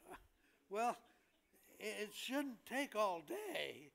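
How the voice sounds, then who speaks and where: An older man acts out a weary, complaining patient in a comedy play reading. The voice is very high for a man, with slow, hesitant, plaintive delivery. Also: big pitch swings, vocal fry, a bit bright, full character voice. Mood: exasperated. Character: tired, put-upon, complaining hospital patient.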